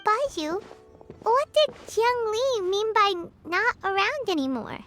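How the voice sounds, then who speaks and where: A woman's voice: very high-pitched, animated, slow, fairly bright tone, faint music behind, full character voice. A woman voicing a small, anxious girl companion who asks worried questions.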